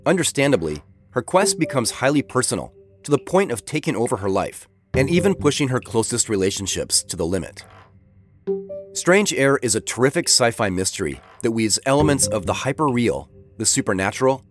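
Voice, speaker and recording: Male podcast host, medium pitch, lively intonation, scripted narration, soft music behind. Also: fairly quick. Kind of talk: scripted narration, audio drama recommendation.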